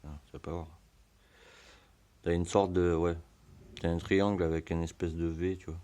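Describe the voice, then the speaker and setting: A hoarse man giving a relaxed, slangy how-to explanation full of fillers, in a home garage. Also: low-pitched, moderate pace. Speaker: motorbike hobbyist.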